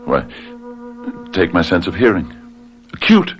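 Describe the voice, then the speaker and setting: Very deep, gravelly, nervous unhinged man. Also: wildly swooping pitch, soft background music, full character voice. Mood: agitated, insistent. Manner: halting, agitated, repeats himself. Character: nervous, unhinged husband insisting he is sane. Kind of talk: radio drama acting.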